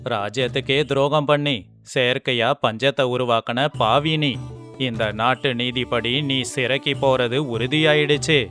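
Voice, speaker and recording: A man playing a shrewd scholar on the throne in an animated moral story, commanding and measured, with mock sympathy. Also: medium pitch, close to an everyday voice. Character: shrewd, sarcastic scholar sitting as king, commanding.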